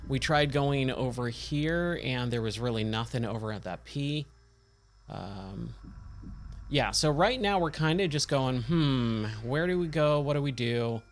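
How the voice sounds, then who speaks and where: A man with a slightly hoarse, scratchy voice giving casual, rambling game commentary and thinking aloud as he plays. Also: mid-range voice, animated, a little slow. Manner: rambling, self-questioning. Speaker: game streamer, host of a let's play.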